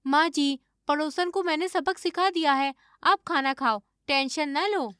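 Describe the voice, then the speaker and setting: A woman playing an evasive, stubborn young daughter-in-law who acts innocent in an animated moral story. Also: sits high, unhurried, dry recording, studio quality. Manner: defensive, feigning innocence.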